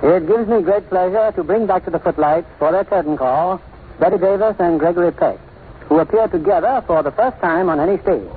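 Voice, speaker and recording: A man's voice: sits high, fairly quick. A man hosting a 1940s radio show, presenting in a formal, stage-announcer style. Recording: tinny, faintly noisy 1940s radio recording.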